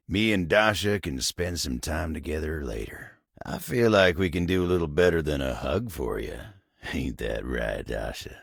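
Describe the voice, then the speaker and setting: A man voicing a gentle, lovestruck living table in a video game, with a gravelly, husky Southern American drawl and a bashful, earnest, folksy manner. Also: low-pitched, no echo, studio quality, heavily acted. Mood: tender, easygoing. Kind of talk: video game character acting.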